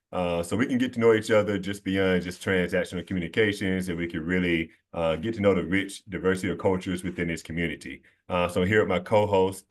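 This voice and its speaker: A man hosting a casual student conversation, friendly, with frequent fillers and laughter. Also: low-pitched. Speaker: host.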